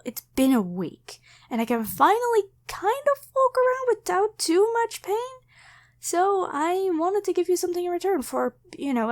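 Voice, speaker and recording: Woman acting high-voiced, flustered, grateful young woman. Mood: proud, flustered. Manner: rambling, apologetic, hesitant, stammering. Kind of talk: ASMR roleplay addressed to the listener.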